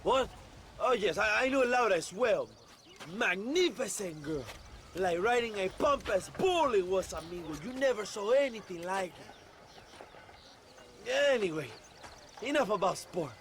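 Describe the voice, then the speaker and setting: Game role: grandiose Mexican revolutionary, self-absorbed, high-pitched and very animated. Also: male voice, measured pace, long pauses, slight background noise, exaggerated voice. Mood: breezy, self-satisfied. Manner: grandiose, flippant. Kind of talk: video game cutscene acting.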